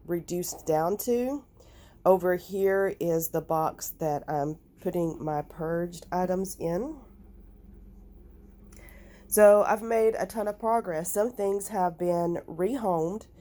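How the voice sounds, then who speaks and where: A woman with a raspy, nasal, hoarse voice, talking through her crafting clean-out in an unhurried, thinking-aloud vlog. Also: fairly low pitch, dark tone. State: recovering from illness, hoarse. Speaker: crafting vlogger. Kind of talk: casual vlog talk and voiceover.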